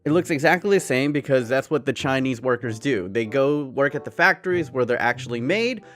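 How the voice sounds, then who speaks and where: A man hosting a gaming video, giving casual, excitable commentary with lots of jokes and self-mockery. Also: medium pitch, fairly quick, faint music behind. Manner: excitable, jokey, self-mocking.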